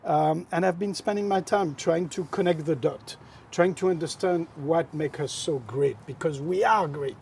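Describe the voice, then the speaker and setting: A man's voice: fairly high pitch, moderate pace, creaky, a little mellow, slight background noise. A middle-aged man from France with a French accent, a guest in a casual interview chat, talking in an expansive, philosophical, emphatic way.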